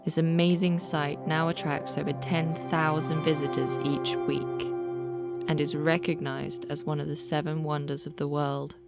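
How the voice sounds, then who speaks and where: A woman reading scripted documentary narration in a calm, even, informative way. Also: deep voice, vocal fry. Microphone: voice-over. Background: soft music.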